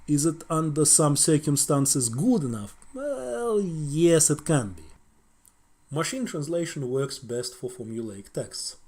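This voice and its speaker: A man's voice: medium pitch, warm tone, breathy edge. A middle-aged man with a Russian accent giving a wry, conversational lecture on translation, often ending points with tag questions. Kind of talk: explanatory video lecture.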